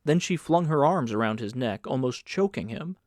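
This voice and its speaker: A man's voice: medium pitch, animated, moderate pace, a little mellow, no echo. A man reading literary fiction as a scripted audiobook narration.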